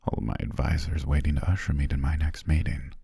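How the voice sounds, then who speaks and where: A man playing a courteous, self-amused demon king in an ASMR roleplay, speaking in a genteel, formal way with a husky, breathy voice. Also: fast talker, dry recording, clean studio sound, full character voice. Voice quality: very deep, husky, gravelly, often breathy near whisper. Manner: leisurely, genteel, archly formal with soft chuckles.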